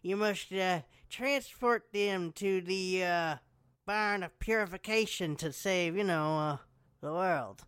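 A man plays a sly, bumbling peasant farmer in a fantasy comedy audio drama. He is hesitant yet mock-grandiose, with a very clear voice. The recording is dry. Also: sits high, takes it slowly, fairly bright tone, no room sound. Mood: mock-grave improvising.

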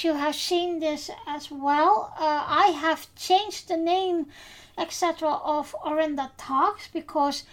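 Slow, high-voiced Dutch-accented woman host. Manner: unhurried, hesitant with many fillers. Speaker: podcast host. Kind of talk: casual podcast chat.

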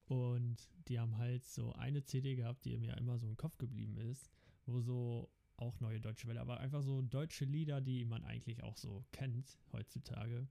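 A man's voice: mid-range voice, flat delivery, normal speed, creaky, dark tone. A man from the Netherlands with a Dutch accent, Dutch as his first language, chatting casually and joking. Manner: joking, laughs often. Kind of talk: casual chat.

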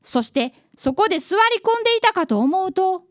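A woman's voice: extremely high pitch, very animated, normal speed, no echo, clean studio sound. A woman playing an earnest, polite eleven-year-old boy apprentice detective in a radio drama, narrating and talking dramatically. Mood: anxious narrating.

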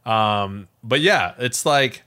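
A man's voice: mid-range voice, animated, slow, bright, forceful tone. A man co-hosting a podcast in casual, jokey, self-deprecating chat. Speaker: podcast co-host.

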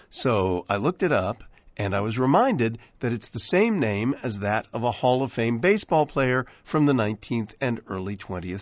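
An American man introduces radio programs in a husky, mid-pitched voice. His scripted yet conversational delivery is very animated, with playful theatrical emphasis. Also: no room sound. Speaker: radio show host.

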